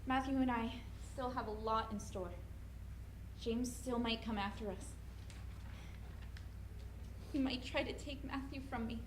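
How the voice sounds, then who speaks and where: Woman acting a high-voiced, resolute mother. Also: steady tone, a little slow, long pauses, close to an everyday voice. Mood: wistful, resolute. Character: shaken but resolute young mother, disillusioned by her lover. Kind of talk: staged dramatic play reading. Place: indoor hall at a college event. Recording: echoey room, faint background noise.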